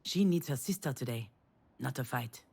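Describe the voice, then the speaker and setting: A woman's voice: very deep voice, expressive, normal speed, gravelly, darkish tone, husky, full character voice. An actress playing a wry, self-deprecating failed screenwriter, confiding her thoughts as a game's narrator in a sardonic way. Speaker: narrator and protagonist. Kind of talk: first-person inner monologue in a narrative game.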